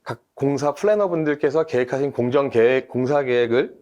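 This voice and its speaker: A man speaks in a mid-range voice, a team leader laying out his points methodically for a webinar audience. He is steady and explanatory, and he often pauses on small fillers as he works through each idea. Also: mid-range voice, muffled recording. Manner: measured, methodical, with frequent fillers. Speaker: team leader, industry expert guest.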